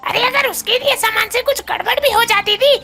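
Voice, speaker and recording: A woman's voice: extremely high pitch, fairly quick, creaky, bright, forceful tone, faint music behind, exaggerated voice. A woman voicing an indignant, plaintive female bird customer in a cartoon. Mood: angry complaint.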